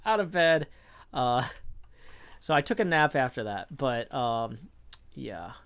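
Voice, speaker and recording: Man, live streamer, gravelly voice, mid pitch, rambling casual chat, desk mic. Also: very animated, takes it slowly, fairly bright tone. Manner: rambling, chatty, reads and answers chat. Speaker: livestreamer.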